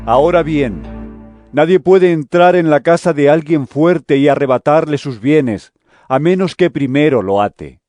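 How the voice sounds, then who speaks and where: A man's voice: on the high side, a little mellow, no echo, close to an everyday voice. Solemn male preacher figure over music, acted. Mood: solemn warning. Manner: solemn, deliberate, declamatory. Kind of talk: dramatized audio Bible acting. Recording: music under the voice.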